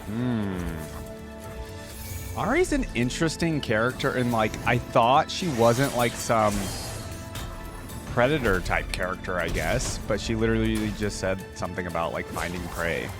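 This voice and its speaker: A man's voice: lowish voice, expressive, a little slow, over music. A man hosting a reaction video, commenting casually on a game and thinking aloud in an informal way. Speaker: reaction video host, gamer.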